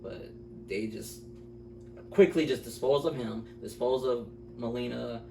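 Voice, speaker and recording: A man's voice: medium pitch, fairly even intonation, a little slow, vocal fry, dark tone. A man co-hosting a casual movie review chat, speaking informally and with strong opinions, often repeating himself to make his point. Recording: roomy, distant mic with faint noise.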